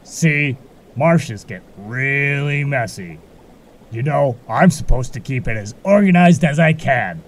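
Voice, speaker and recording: A man's voice: medium pitch, lively intonation, takes it slowly, a little hiss or hum. A man playing a folksy, laid-back but grumbling keeper of a magical marsh in an amateur audio drama. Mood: defensive.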